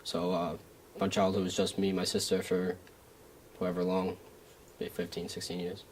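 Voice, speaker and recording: A man's voice: sits low, monotone, normal speed, slight background noise. A young man from Staten Island, New York, giving casual, hesitant interview answers in brief phrases. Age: young adult. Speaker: student interviewee.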